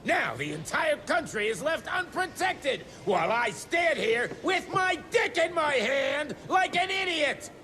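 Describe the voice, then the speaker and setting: Furious high-pitched bullying government official. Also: male voice, very animated, a little slow, hardly any pauses, bright, forceful tone, a little hiss or hum, exaggerated voice. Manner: profane, sneering, indignant tirades. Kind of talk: video game cutscene acting.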